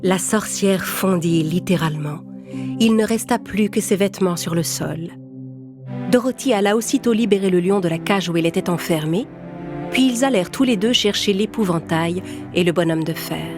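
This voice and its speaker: A woman's voice: fairly low pitch, moderate pace, over music. A woman reading scripted narration for a children's fairy tale as the storyteller.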